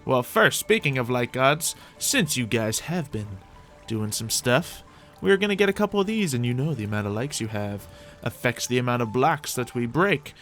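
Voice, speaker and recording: A man commentating on his own video game play, thinking aloud in an animated way with playful exclamations. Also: medium pitch, moderate pace. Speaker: gaming YouTuber playing a Minecraft roleplay series. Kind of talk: gameplay commentary. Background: background music.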